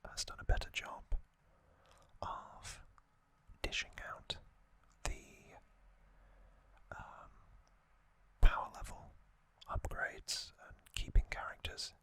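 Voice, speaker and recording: A man whispering as an ASMR host, breathy and unvoiced, talking off the cuff in a halting, reflective way. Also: sharp tone. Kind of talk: ASMR, unscripted whispered commentary.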